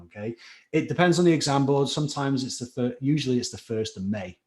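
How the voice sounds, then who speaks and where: Chatty Scouse chemistry tutor. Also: male voice, medium pitch, brisk, a little mellow. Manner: chatty, encouraging, reads out chat names. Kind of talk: live online class teaching.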